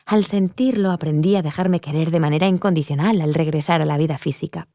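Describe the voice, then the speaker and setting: A woman's voice: deep voice, fairly quick, warm tone, no echo, studio quality. A woman voicing an earnest, reflective woman giving a personal testimony in a dubbed interview. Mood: reflective, candid. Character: earnest adult woman recounting her near-death experience.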